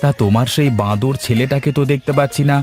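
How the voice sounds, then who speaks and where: A man's voice: mid-range voice, fast talker, darkish tone, faint music behind, close to an everyday voice. Man acting a grumbling village husband. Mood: grumbling, affectionate. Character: hardworking village husband, grumbling but affectionate. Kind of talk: dramatised moral story voice acting.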